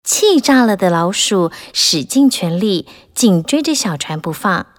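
A woman narrating a children's fairy tale in an animated, expressive way, rising and falling with the drama. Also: mid-range voice, a little slow. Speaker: storyteller.

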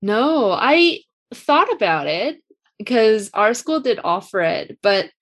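A young woman, not a native English speaker, speaking quietly and calmly with some hesitation in a casual chat. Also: on the high side, slow pace. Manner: quiet, composed, hesitant with fillers. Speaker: co-host.

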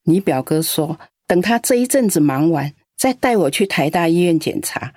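A woman's voice: fairly low pitch, lively intonation, normal speed, a little mellow, dry recording, studio quality. A woman acting a lonely elderly grandmother in a radio drama, doting on the young but scolding her grown daughter in an aggrieved way. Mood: fond. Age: older. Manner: fond and sighing, turns scolding and aggrieved.

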